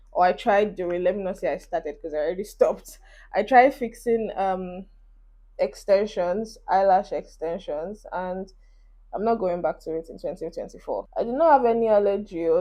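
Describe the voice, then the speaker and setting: A woman's voice: medium pitch, darkish tone. A woman in her twenties with a Nigerian accent, chatting to camera in a casual get-ready-with-me vlog, encouraging her viewers while doing her makeup. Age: 20s. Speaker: beauty vlogger. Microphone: phone camera.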